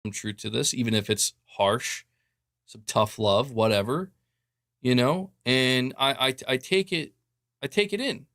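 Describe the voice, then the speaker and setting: A man on a stream speaking softly and hesitantly about harsh comments. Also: mid-range voice, unhurried, no room sound, clean studio sound. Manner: soft, subdued, hesitant. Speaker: streamer in played clip. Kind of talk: casual live-stream talk.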